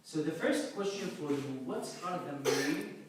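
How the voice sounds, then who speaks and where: A man's voice: a little high, normal speed. A man hosting a question and answer session with an audience, upbeat, recorded at a distance in a very echoey room. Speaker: host, moderator. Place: echoing conference room with an audience. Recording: very echoey room, distant mic, faint noise.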